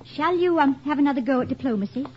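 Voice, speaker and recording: A muffled vintage radio recording of a playful, devoted wife. Her voice is high and very animated, with an arch, teasing wit and crisp literate phrasing. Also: fast talker. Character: witty, loyal, playful college president's wife. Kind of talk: 1950s radio comedy acting. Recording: muffled, faintly noisy 1950s radio recording.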